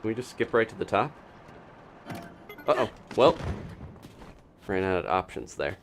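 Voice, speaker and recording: A man's voice: fairly low pitch, very animated, unhurried, slight background noise. A man giving casual live gameplay commentary as a streamer, thinking aloud as he plays. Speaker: game streamer, host.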